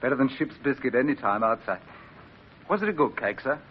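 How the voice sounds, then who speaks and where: An actor playing a plain-spoken, loyal British sailor in a radio drama, homely and deferential with a dry, sceptical streak. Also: male voice, medium pitch, lively intonation, brisk, lightly acted. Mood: dry, grumbling. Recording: muffled, noisy old radio recording.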